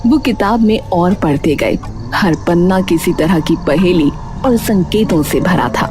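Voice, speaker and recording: A woman's voice: on the low side, lively intonation, unhurried, over music. A woman narrating a scripted story in a dramatic storytelling style.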